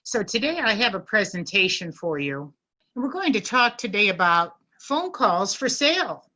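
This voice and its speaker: Bright-voiced middle-aged woman presenting. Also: mid-range voice. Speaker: guest speaker. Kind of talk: prepared business presentation to an online networking group. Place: online video meeting.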